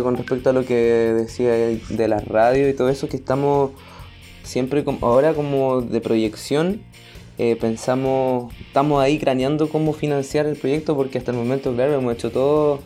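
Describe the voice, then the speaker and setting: A Chilean man chats casually in a mellow, medium-pitched voice. He is colloquial and often pauses to find his words, with faint music in the background. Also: steady tone. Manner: hesitant, searching for words, colloquial. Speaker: guest, podcast creator. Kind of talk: casual conversation.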